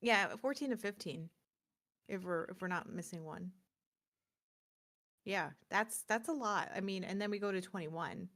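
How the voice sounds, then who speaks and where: A woman's voice: mid-range voice, unhurried, pauses often, fairly bright tone. A woman chatting casually and unscripted as a live stream co-host, talking with her partner and viewers.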